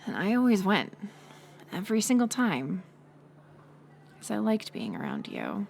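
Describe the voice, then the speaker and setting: A woman playing a bitter, hurt college-age former friend turned bully, confessing in a halting, intimate audio drama monologue. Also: medium pitch, wildly swooping pitch, darkish tone, slight background noise, full character voice. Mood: wistful, resentful. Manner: halting confessional delivery, drifting into breathy near-whispers.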